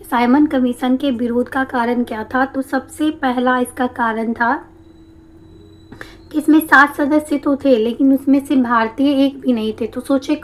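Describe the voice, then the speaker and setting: A woman teacher with a slightly hoarse throat, explaining a history lesson step by step and answering her own questions. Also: sits high. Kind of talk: exam-prep history lesson.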